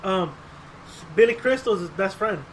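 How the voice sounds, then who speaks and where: A man's voice: sits high, normal speed, slight background noise, low-quality recording. A man chatting casually as a podcast co-host, rambling and profane, acting out quotes as he tells stories. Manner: profane, rambling, animated storytelling with acted-out quotes. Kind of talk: casual chat.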